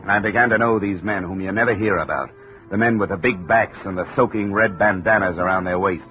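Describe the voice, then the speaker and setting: An actor playing a wisecracking hardboiled insurance investigator who also narrates the story, in the manner of a 1950s radio drama. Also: male voice, sits low, fairly quick, soft background music, lightly acted. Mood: dry. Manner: dry, wry, matter-of-fact. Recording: phone-like old radio broadcast.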